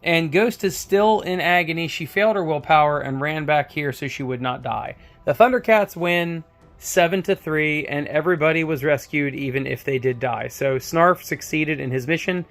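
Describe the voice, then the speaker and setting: Hoarse man recapping a tabletop battle. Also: a little high, moderate pace, a bit bright, faint music behind. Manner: rambling play-by-play with many fillers. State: hoarse, getting over a cold. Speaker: independent game designer narrating a battle report.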